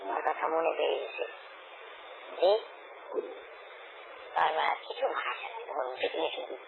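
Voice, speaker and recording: A man's voice: a little high, animated, a little slow, gravelly. A man chatting casually and intimately on a phone call, often repeating his own phrases. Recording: noisy, narrow phone call recording.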